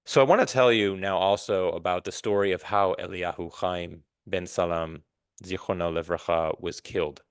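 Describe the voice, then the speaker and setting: Low, smooth-voiced man hosting a podcast. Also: fairly even intonation, dry recording. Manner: measured, thoughtful, with pauses and restarts. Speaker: podcast host. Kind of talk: reflective solo podcast talk.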